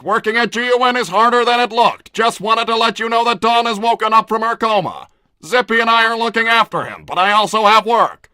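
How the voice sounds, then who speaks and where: A man's voice: extremely high pitch, pushed and bright, smooth, no echo. A man co-hosting a livestream, casually reading viewer messages aloud.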